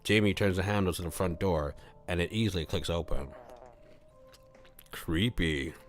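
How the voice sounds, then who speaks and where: Male streamer narrator, very low register, expressive and informal, with background music. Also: moderate pace. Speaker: streamer reading a visual novel aloud. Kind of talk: live read-aloud with character voices and casual asides. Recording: music playing behind the voice.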